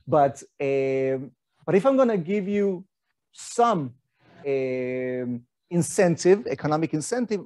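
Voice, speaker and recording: A man's voice: a little high, mellow, dry recording. A middle-aged man, giving an academic lecture in a conversational way with rhetorical questions and fillers. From Argentina.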